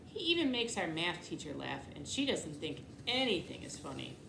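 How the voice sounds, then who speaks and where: A woman's voice: deep voice, big pitch swings, normal speed, full character voice. Woman as a sassy, whiny big sister, echoey. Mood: chatty. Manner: dismissive, exasperated, dramatic. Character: sarcastic, whiny older sister who loves theater. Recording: echoey room, clear background noise.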